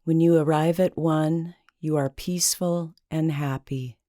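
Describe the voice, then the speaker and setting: A woman leading a guided meditation in a calming, deliberate manner with long pauses between phrases. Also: low-pitched, dry recording, studio quality.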